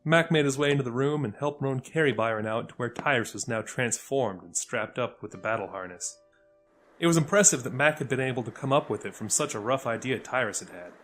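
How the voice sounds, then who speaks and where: A man narrates scripted fiction as a storyteller. His voice is mid-range, with quiet music playing behind him. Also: medium pitch, lively intonation, fairly quick. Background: faint music.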